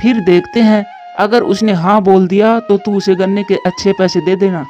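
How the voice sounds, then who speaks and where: A man's voice: sits high, steady tone, moderate pace, a little mellow, dull audio, faint music behind, lightly acted. A man voicing a helpful, dutiful crow in a children's cartoon fable, speaking earnestly and emphatically. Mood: friendly, explaining. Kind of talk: Hindi cartoon voice acting.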